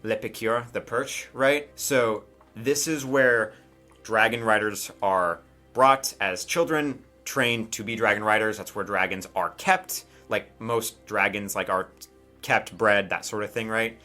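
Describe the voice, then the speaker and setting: A man's voice: on the low side, unhurried, sharp tone. A man narrating a tabletop game as game master in a casual, conversational way, improvising as he goes and often checking in with his players. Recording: faint music behind the voice. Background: faint music.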